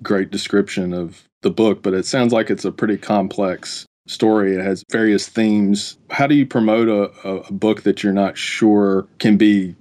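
A man hosts a podcast panel and puts measured, inquisitive questions to his guests. His voice sits a little low for a man. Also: little pitch movement, darkish tone. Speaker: podcast host. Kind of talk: interview questions.

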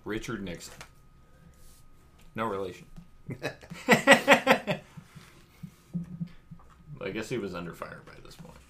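A man's voice: fairly low pitch, huge pitch swings, slow pace, long pauses, bright, forceful tone, slight background noise. A man co-hosting a podcast, joking and laughing often as he chats through a game. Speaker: podcast co-host. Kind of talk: casual chat while playing a game.

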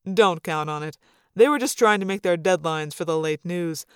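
Female reader as protective, easygoing dad, lowered register, responsible adult man, audiobook fiction. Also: moderate pace, smooth, no room sound, studio quality, lightly acted. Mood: reassuring. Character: easygoing, protective father, a serious TV reporter.

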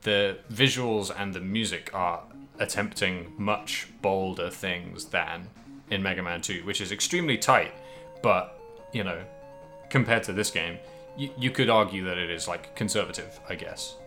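Casting note: man, low bright voice, loose rambling podcast chat, faint guitar music behind. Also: moderate pace. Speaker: podcast co-host. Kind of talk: casual chat about a video game.

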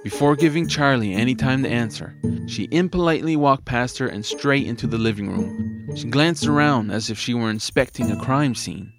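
Guy narrating an audio drama, medium pitch, expressive storyteller, music going underneath. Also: moderate pace.

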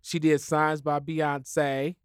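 A man co-hosting a podcast in a studio, chatting casually, joking and laughing often in quick banter. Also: on the high side, flat delivery, clean tone, dry recording. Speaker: podcast co-host. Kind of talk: casual chat.

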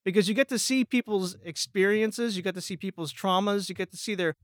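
A man's voice: high-pitched, brisk, no room sound, clean studio sound. An American man from Pennsylvania chatting casually as a guest voice actor, rambling and self-deprecating. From Pennsylvania, United States. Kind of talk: casual chat.

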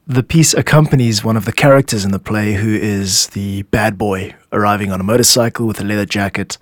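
A man's voice: fairly low pitch, a little fast, dry recording, studio quality. A man hosting a show as a music composer, giving scripted explanatory narration. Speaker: podcast host and music composer.

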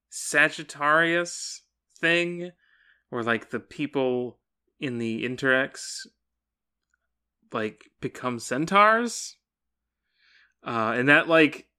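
A man's voice: mid-range voice, bright, forceful tone, smooth. A man co-hosting a podcast, discussing a book casually with a wry, informal manner and some swearing. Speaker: podcast co-host.

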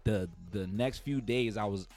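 A young podcast host from Brooklyn with a New York accent and a fairly low voice. He talks laid-back and slangy, heavy on profanity, easily getting hyped. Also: normal speed, a little mellow, soft background music. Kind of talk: casual podcast conversation.

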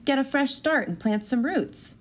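A woman's voice: high-pitched, expressive, normal speed. A woman in her thirties playing an anxious, self-doubting new schoolteacher with a wry edge, in a naturalistic audio drama. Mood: earnest. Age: 30s. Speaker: actor in an audio play.

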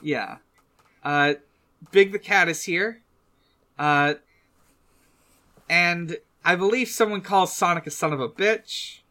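A man co-hosting a casual recap discussion, speaking in a halting, hesitant way. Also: high-pitched, bright, forceful tone. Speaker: podcast co-host.

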